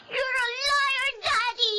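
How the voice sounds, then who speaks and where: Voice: very high voice, fairly even intonation, takes it slowly, noisy background. A performer playing a tearful, defiant little girl pleading in a Filipino radio drama, switching between English and Tagalog. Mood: angry, crying.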